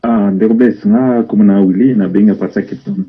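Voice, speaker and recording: A Congolese man calling in to a show, giving his opinion casually and haltingly, mixing languages as he goes. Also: fairly low pitch, steady tone. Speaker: caller. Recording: muffled internet phone call.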